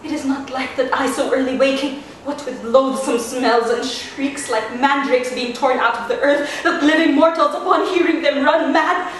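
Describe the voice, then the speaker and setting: A desperate young noblewoman, acted by a woman speaks a classical tragedy monologue in a high voice, fearful and dramatic. The sound is very reverberant and distant, with faint noise. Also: measured pace, pauses often. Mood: fearful, dreading. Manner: dramatic, swinging from hushed to frantic. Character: frightened, desperate young noblewoman dreading the tomb. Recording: very echoey room, distant mic, faint noise.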